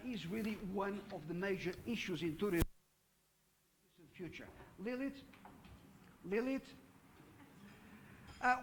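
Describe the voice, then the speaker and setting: A middle-aged man gives a conference talk with slides. He talks haltingly, with many fillers and restarts, and asks the audience questions. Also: high voice, slight background noise. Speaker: conference speaker. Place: conference hall.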